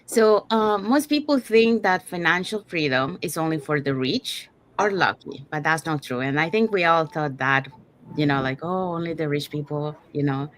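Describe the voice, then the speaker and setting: Female online host, slightly low voice, casual presenting style. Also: normal speed, a bit bright, a little hiss or hum. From Panama. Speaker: host, digital business owner. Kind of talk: casual live webinar presenting.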